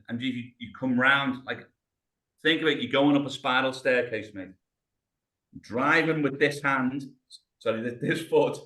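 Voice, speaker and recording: A man's voice: medium pitch, fairly bright tone. British male rehab coach, 50s, patient step-by-step cueing, webcam audio. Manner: encouraging, talks a client through each movement step by step, says mate. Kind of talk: coaching exercise over video call. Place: home room, on the floor. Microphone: video call webcam.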